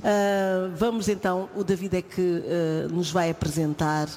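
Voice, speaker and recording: A man's voice: high voice, little pitch movement, a little slow, a little mellow. A man hosting a live radio broadcast, chatting in a friendly, inviting way. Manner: friendly, inviting, laughs easily. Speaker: radio host. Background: music.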